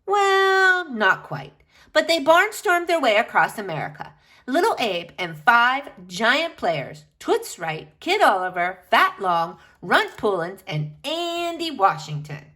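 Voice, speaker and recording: Bright, animated woman reading a storybook aloud. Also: on the high side. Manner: emphatic, dramatic phrasing with drawn-out key words. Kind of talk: expressive children's picture book read-aloud.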